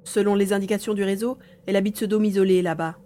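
A woman's voice: medium pitch, fast talker, a little hiss or hum, natural voice. Echoey, wary sidekick investigator played by a woman. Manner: expressive, questioning, self-talking. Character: wary, grumbling sidekick investigator named Karl. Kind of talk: scripted science fiction audio drama. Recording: echoey room sound.

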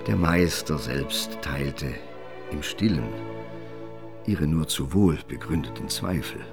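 A man narrating a literary radio drama in a measured, formal manner. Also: rumbling low, vocal fry, music in the background.

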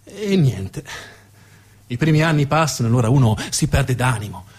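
A man narrating a theatrical monologue as a stage actor, dramatic, with emphatic repetitions and deliberate pauses. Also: mid-range voice, sing-song, darkish tone, husky. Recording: music behind the voice.

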